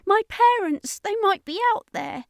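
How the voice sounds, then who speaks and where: Woman playing a small alien boy, very high voice, frightened, halting, pleading, storybook child. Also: animated, gravelly, dry recording, studio quality, heavily acted. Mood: desperate.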